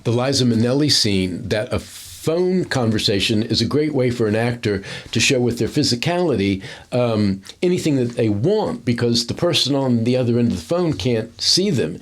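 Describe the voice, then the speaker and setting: A man's voice: mid-range voice, lively intonation, moderate pace, few pauses. A man giving analytical commentary on film acting in a conversational, explanatory way.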